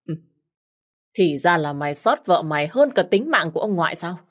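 A woman's voice: fairly low pitch, very animated, normal speed. A woman voicing a young man who scolds his cousin with contempt. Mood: scolding.

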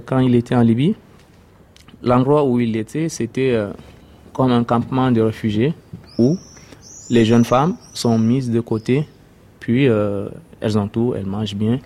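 A young man from Sudan, native Arabic speaker, giving a hesitant personal testimony with many pauses. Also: mid-range voice, warm tone. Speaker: interviewee, refugee.

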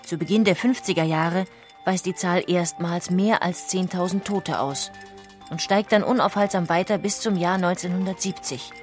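A woman reading scripted documentary narration with measured, factual delivery. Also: fairly low pitch, faint music behind.

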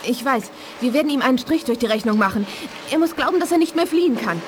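Anxious sci-fi agent in radio play, super high strained voice, urgent. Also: female voice, expressive, fast talker, heavy background noise. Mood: determined. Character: determined, anxious female telekinetic agent. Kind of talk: 1980s German radio drama acting.

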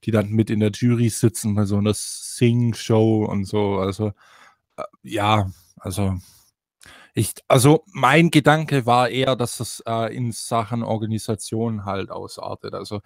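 A man's voice: lowish voice, fairly even intonation, measured pace, creaky, dry recording, clean studio sound. A man co-hosting a casual podcast discussion. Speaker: podcast co-host.

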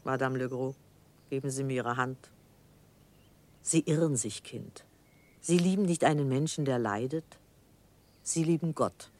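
Severe old aristocratic lady, deep female voice, haughty, grave, theatrical radio play delivery. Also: unhurried, long pauses, darkish tone, no room sound. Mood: solemn. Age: older. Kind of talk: classic German radio drama acting.

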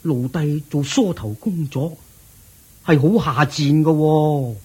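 A fretful, raspy rich father. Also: fairly high pitch, takes it slowly, a little mellow, exaggerated voice. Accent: Hong Kong Cantonese. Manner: pleading, fretful. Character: proud worried wealthy older father. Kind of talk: radio drama acting.

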